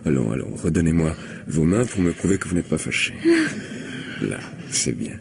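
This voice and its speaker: Deep-voiced smooth-talking palm reader. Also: male voice, full character voice. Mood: insinuating, tender. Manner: insistent, flattering, hesitant pauses while divining. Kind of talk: old-fashioned French radio mystery play acting.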